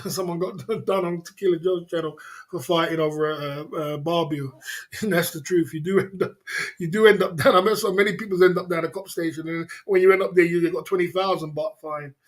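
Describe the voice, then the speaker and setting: A man, casually hosting a livestream, rambling and laughing often as he answers viewers. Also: fairly high pitch. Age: 50s. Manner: rambling, laughs often, repeats filler phrases. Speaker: livestream host. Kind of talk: casual livestream chat reading viewer comments.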